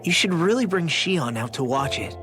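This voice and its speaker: A man voicing a brash, warm-hearted teenage boy fighter in a video game cutscene, with a casual, blurting delivery. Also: fairly high pitch, big pitch swings, normal speed. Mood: upbeat, casual. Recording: music behind the voice.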